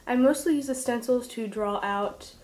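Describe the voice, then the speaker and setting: A woman's voice: a little high, normal speed, darkish tone, a little hiss or hum. A young woman with a congested, nasal voice from a cold, chatting casually and self-deprecatingly through a makeup tutorial. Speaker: beauty vlogger, makeup artist. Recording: echoey, roomy sound.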